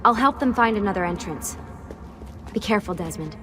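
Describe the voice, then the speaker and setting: A decisive team leader in a game cutscene, brisk and instructing in a medium-pitched voice. Faint music runs behind her. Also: lively intonation, sharp tone. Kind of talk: video game cutscene dialogue.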